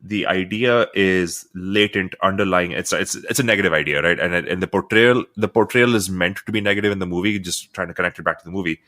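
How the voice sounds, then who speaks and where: A man with a very low, deep voice talks quickly, pushing his points with emphasis. He grew up in Dubai and co-hosts a casual film podcast, often doubling words as he gets going. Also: bright, forceful tone. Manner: emphatic, quick, repeats words when starting. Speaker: podcast co-host.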